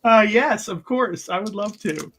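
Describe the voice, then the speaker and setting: A man's voice: sits high, a little slow, pushed and bright, breathy edge. A man chatting casually as a player in a tabletop roleplaying game, jokey and often repeating himself.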